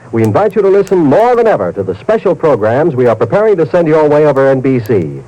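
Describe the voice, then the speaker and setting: A formal male radio announcer from the 1950s reads scripted copy with very lively intonation. His tone is mellow and fairly high, on a slightly dull vintage broadcast.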